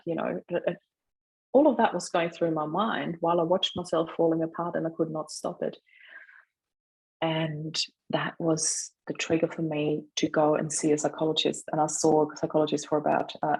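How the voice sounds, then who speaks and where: A middle-aged woman speaks as a podcast guest, sharing personal experience in a reflective, emphatic way. Her voice is husky with a gravelly creak, and her pitch stays fairly even as she talks. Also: rough recording. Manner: reflective, emphatic, acts out remembered remarks. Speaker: podcast guest, grief coach. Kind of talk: personal storytelling in an interview.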